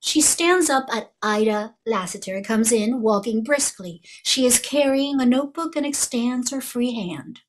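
Higher-voiced woman reading stage directions. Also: expressive, a little slow, hardly any pauses. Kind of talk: scripted narration.

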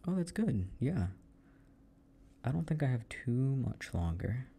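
A man as a gravelly, low-voiced boyfriend. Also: measured pace, exaggerated voice. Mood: easygoing. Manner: low muttering while focused on a task; relaxed, friendly chat with a partner. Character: young adult boyfriend quietly doing computer paperwork. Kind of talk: audio roleplay for a listener.

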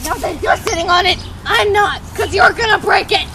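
A teenage girl joking around in a suburban backyard, calling loudly to the dogs between giggles, recorded on a handheld phone. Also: very high-pitched, moderate pace, a loud crowd. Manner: giggly, loud calls to dogs. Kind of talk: playful backyard roleplay chat. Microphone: handheld phone camera. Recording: noisy outdoor phone recording.

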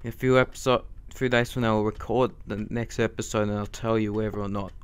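A young man hosting casual gameplay commentary, slightly hoarse from a sore throat, talking in a quiet, low-key way. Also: steady tone, fast. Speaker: gaming channel host.

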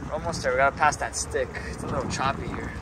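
Young male boat vlogger, easygoing and chatty, on an action camera with clear wind and engine noise. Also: medium pitch, fast talker. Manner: relaxed, chatty, talking to his dogs. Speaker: fishing vlogger. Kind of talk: casual vlog narration. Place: small open boat on the water outdoors.

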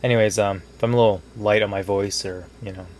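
A man's voice: lowish voice, fairly even intonation, fast, darkish tone, slight background noise. A young man with a stuffy cold, casually narrating a hobby video in a basement, rambling with lots of fillers. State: congested from a cold. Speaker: model railroad hobbyist.

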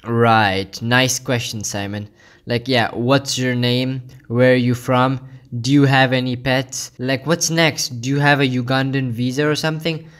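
A man's voice: medium pitch, little pitch movement, a little slow, a bit bright. A young man from Pakistan, giving sarcastic, rambling comedic commentary on a video. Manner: sarcastic, mocking, rambling with many "like"s.

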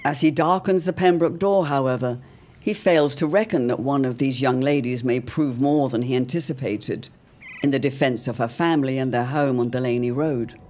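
A man narrating an old-time serial drama in a theatrical, portentous way with deliberate phrasing. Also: mid-range voice, a little mellow.

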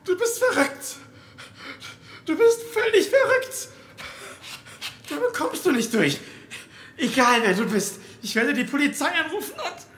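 An anxious young captive played by a man speaks in a horror radio play. His delivery is slow, halting and desperate. He sounds enclosed in an echoey space with faint noise. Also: very high-pitched, big pitch swings, fairly bright tone, exaggerated voice. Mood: horrified, desperate. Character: frightened young man trapped in a cellar. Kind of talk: horror audio drama dialogue.

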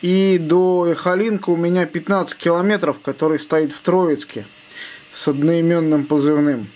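A man's voice: on the high side, steady tone, normal speed, a little mellow. A man making casual amateur radio contact, spelling out call signs in phonetic words. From Russia.

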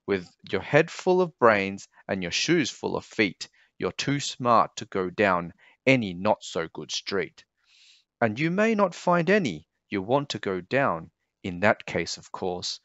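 A man reading a children's storybook aloud expressively, with a deliberate, sing-song delivery of rhyming verse. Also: fairly low pitch, fairly bright tone, no room sound, lo-fi sound. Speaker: storybook reader.

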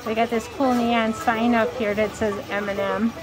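A woman's voice: fairly high pitch, level tone, normal speed, over loud music, low-quality recording. A woman vlogger narrating a walk through an indoor train museum, exclaiming over every detail. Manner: gushing, exclamatory. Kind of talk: casual walkthrough narration.

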